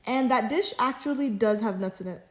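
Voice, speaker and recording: A man's voice: very high voice, normal speed. A young man voicing a polite, casual restaurant worker who answers the phone, in a storytime animation. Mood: helpful. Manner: casual, helpful. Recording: roomy echo.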